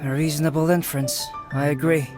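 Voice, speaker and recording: A man voicing a cerebral young scholar in a video game, calm, measured, precise and detached. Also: on the high side, music in the background, lightly acted. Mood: calm, analytical. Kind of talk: English video game voice acting.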